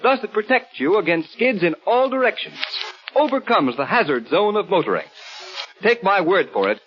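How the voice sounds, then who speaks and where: An American man announcing from a script in emphatic, dramatic 1930s radio style. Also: sits high. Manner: dramatic, emphatic salesmanlike delivery. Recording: muffled 1930s radio broadcast recording.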